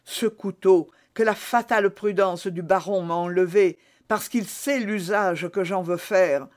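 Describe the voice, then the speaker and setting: A man's voice: high voice, a little slow. A man reading aloud and voicing a scheming imprisoned noblewoman whose begging turns to sly, calculating asides. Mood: pleading. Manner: breathless impassioned pleading, swinging to sly asides.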